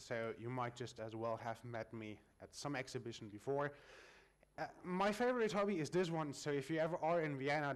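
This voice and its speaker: German man giving a tech talk. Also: mid-range voice. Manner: friendly, explanatory with filler sounds. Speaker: conference speaker. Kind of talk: technical conference talk. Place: conference room at a lectern.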